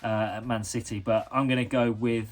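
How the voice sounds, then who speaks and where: Man in his thirties, from England, measured, hesitant fillers, mid-pitched voice. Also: little pitch movement. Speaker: guest. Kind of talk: recorded football predictions.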